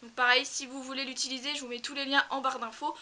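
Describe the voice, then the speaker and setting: Young woman w a stuffy nose, slightly high voice, rattling on about her fave stuff, faint hiss behind. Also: little pitch movement, fast, pushed and bright. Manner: chatty, repeats words for emphasis. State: congested, nasal from a cold. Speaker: equestrian vlogger. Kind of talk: casual product review vlog. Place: outdoors in a stable tack room, cold.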